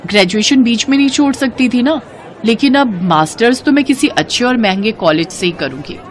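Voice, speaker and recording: A woman's voice: on the high side, lively intonation, fairly quick, some chatter behind, natural voice. A woman voicing a haughty, snobbish rich young woman student in a cartoon story, speaking disdainfully with English words mixed in. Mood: boastful, scornful. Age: young adult.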